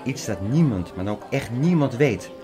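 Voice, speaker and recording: An actor playing an obsessive, agitated conspiracy theorist in an audio drama, lecturing earnestly and insistently. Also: male voice, mid-range voice, animated, normal speed, crowd noise, natural voice. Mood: insistent, urgent. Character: obsessive, agitated male conspiracy theorist expounding apocalyptic prophecies. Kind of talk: Dutch audio drama acting. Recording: music behind the voice.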